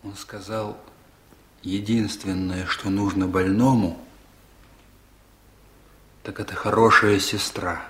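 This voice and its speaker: An actor playing a sober, resigned village doctor in a Soviet radio drama. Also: male voice, low voice, flat delivery, slow pace, pauses often, a bit bright. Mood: resigned, matter-of-fact. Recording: echoey room.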